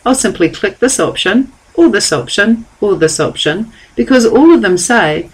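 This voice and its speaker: A woman giving a calm, step-by-step software tutorial over a screen recording. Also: mid-range voice, moderate pace, slightly rough, poor audio. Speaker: software trainer.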